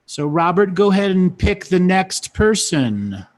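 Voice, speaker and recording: A man's voice: high-pitched, big pitch swings, measured pace. A man hosting a live call-in show, friendly and guiding, patiently repeating his questions to callers. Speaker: host.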